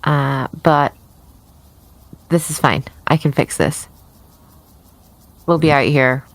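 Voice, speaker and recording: A woman's voice: sits low, takes it slowly, vocal fry, dry recording. A woman playing a tabletop role-playing game, talking casually in character.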